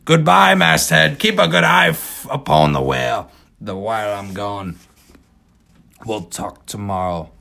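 A man's voice: lively intonation, unhurried, creaky, heavily acted. A man voicing an old, obsessed whaling captain who declaims and barks orders. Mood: commanding. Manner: booming, declaiming, shouted orders.

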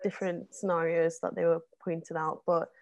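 A woman, a guest on a casual podcast, talking with many ums and likes and getting animated in bursts. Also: on the low side, fairly quick, gravelly. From North West England. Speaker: interview guest, zine co-founder.

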